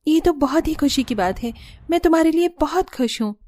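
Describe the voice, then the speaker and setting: Woman voicing a very high-pitched kind young bride. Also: animated, fairly quick, dark tone, no room sound, clean studio sound. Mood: happy, agreeable. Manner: earnest, dutiful. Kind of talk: Hindi cartoon story dialogue.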